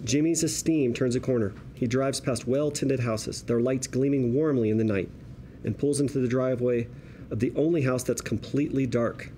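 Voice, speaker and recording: Mellow male narrator, faint room noise. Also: medium pitch, fairly even intonation, moderate pace. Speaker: narrator reading stage directions. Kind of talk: scripted narration at a table read.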